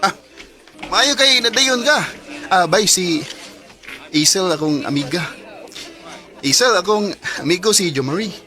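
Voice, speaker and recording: A man's voice: high-pitched, animated, unhurried, pauses often, pushed and bright, full character voice. A young man playing a teasing, encouraging best friend in an amateur radio drama. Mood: friendly.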